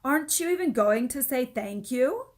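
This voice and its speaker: Woman acting a firm, deliberate schoolteacher. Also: sits high, lively intonation, voice pushed up. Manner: commanding, deliberate.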